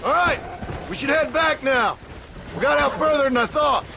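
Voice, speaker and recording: A man playing a gruff, protective middle-aged rancher father in a video game, with a rural American Western accent and a plain-spoken, firm, folksy manner. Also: very high-pitched, normal speed, noisy background. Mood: firm, cautioning. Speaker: game character actor. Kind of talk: dramatic video game dialogue. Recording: loud outdoor horse-ride ambience over dialogue.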